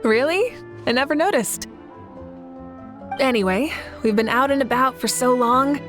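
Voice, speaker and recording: A woman playing a confident, easygoing young leader in a video game, with a relaxed and playfully teasing manner. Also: sits high, a little slow, faint music behind. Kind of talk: video game character acting.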